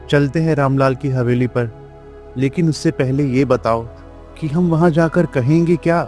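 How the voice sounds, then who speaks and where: A man's voice: medium pitch, mellow, close to an everyday voice. A man voicing a worried, humble village farmer in a Hindi moral-story cartoon, earnest and pleading.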